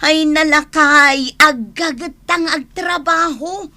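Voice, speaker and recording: A woman's voice: high-pitched, slow, bright, forceful tone, heavily acted. A woman playing a nagging, hard-pressed mother in a radio comedy drama, with an Ilocano accent and a scolding manner.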